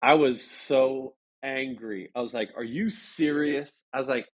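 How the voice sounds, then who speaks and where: An American man from Chicago telling stories casually as an interview guest, halting and rambling with lots of filler words. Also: medium pitch. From Chicago, United States. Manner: halting, rambling, frequent "like" and "you know". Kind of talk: casual conversational storytelling. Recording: dull lo-fi audio.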